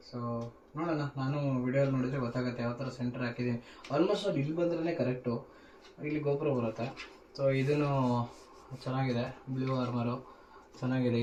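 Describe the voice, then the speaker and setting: A man's voice: medium pitch, mellow, slight background noise. A man giving a casual hands-on how-to demonstration, informally mixing in English words. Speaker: tech vlogger presenting a tutorial.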